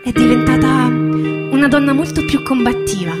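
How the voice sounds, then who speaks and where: A woman's voice: high-pitched, voice pushed up, close to an everyday voice. Woman playing an earnest young male metalworker, music behind. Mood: tender, proud. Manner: halting, repeats words, insistent, colloquial. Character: Gerardo, earnest proud young male metalworker remembering a riot. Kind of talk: theatrical monologue of personal testimony.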